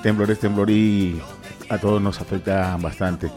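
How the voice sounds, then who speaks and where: A man co-hosting live radio, commenting conversationally in an earnest, measured way. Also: on the low side, steady tone. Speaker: radio co-host. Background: music behind the voice.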